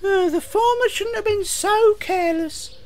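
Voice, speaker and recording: A man's voice: very high-pitched, measured pace, slight background noise, voice pushed up. A man voicing a cheeky, proud young tank engine in a children's story, childlike and indignant. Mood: defensive.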